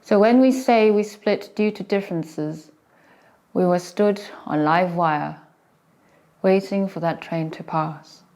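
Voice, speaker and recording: Female poetry reader, lower-pitched voice, very unhurried, measured fragments set apart by pauses. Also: a little mellow. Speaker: poet reciting poetry. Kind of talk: slow poetry recitation.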